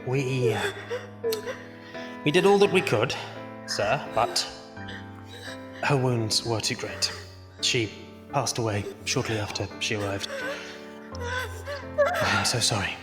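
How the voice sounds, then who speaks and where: An actor playing a flustered but dutiful Victorian doctor in a period horror audio drama, in an echoey space with music underneath. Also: male voice, mid-range voice, huge pitch swings, slow, pauses often. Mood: sombre.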